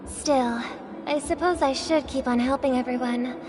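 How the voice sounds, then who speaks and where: An actress voicing a poised young woman in a video game, calm and reassuring on top with a quiet, sardonic weariness underneath. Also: high-pitched, normal speed, background noise, close to an everyday voice. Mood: weary. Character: poised young woman, weary beneath a cheerful front. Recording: game audio with music.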